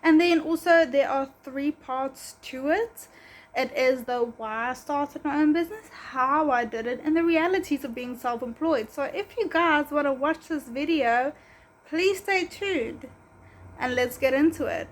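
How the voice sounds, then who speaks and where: A woman's voice: sits high, unhurried. A young woman in her twenties from South Africa, Afrikaans by birth, chatting casually in a vlog with a South African accent and a rambling, self-correcting manner. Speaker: pet spa owner.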